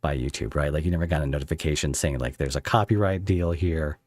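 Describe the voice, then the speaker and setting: A man hosting a casual interview, asking rambling questions in a halting way. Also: a bass voice, a little mellow, no echo, clean studio sound. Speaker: podcast host interviewing a creator.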